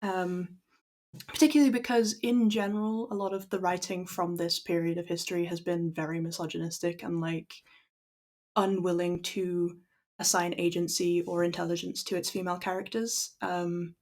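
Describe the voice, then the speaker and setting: A young Irish woman with a croaky voice, chatting casually to her stream and then reading a classic story aloud in a steady, measured way. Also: lowish voice. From Ireland. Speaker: livestream book reader.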